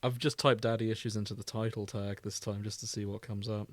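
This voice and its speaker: A man's voice: fairly low pitch, flat delivery, brisk, dry recording. A man co-hosting a comedic podcast, chatting casually and bantering with playful mock indignation. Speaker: podcast co-host. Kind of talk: casual comedic chat, reading out fan fiction tags.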